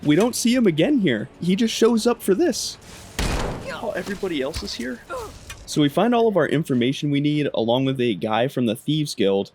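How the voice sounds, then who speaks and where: A man's voice: on the high side, big pitch swings, moderate pace, a little mellow, slight background noise. A man narrating his own video game playthrough in a casual storytelling style.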